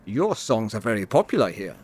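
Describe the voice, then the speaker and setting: A man's voice: on the low side, sing-song, a little fast, darkish tone, slightly rough. Guy acting strict officer, formal n clipped, voice jumps up and down, radio drama. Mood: formal, deferential. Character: stern, formal German army lieutenant, male.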